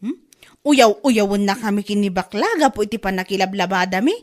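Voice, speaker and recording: A woman's voice: medium pitch, fairly quick, close to an everyday voice. A woman with an Ilocano accent acting an aggrieved, gossipy laundrywoman in a radio comedy drama, given to exclamations. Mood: gossipy, surprised. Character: gossipy, aggrieved laundrywoman chatting with her friend.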